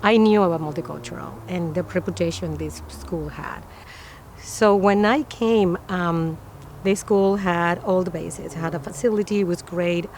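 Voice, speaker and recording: Earnest Peruvian-born woman, lowish voice. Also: normal speed, darkish tone, a little hiss or hum, low-quality recording. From Peru. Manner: earnest, with non-native phrasing and many fillers. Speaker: preschool director. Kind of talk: interview.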